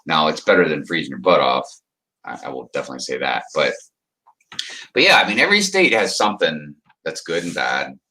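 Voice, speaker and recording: A man's voice: very deep voice, sing-song, a little fast, pushed and bright. A man hosting a livestream, chatting casually with viewers and reading their comments aloud as he rambles. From United States. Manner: rambling, chuckling, talks to viewers by name. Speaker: livestream host reading chat. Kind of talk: casual chat.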